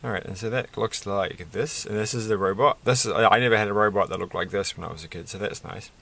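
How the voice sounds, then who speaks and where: Low, croaky, rambling middle-aged man. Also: bright, forceful tone. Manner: rambling, halting, searching for words. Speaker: Lego build video creator. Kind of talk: casual hobby vlog, unscripted commentary while building. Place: indoors at a desk.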